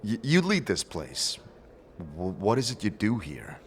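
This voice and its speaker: Guy playing an awkward polite fantasy hero, halting questions, faint music behind him. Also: lowish voice, huge pitch swings, in a large hall. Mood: halting, curious. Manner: halting, stammering, understated. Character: hesitant, polite male wanderer asking questions. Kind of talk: fantasy audio drama acting.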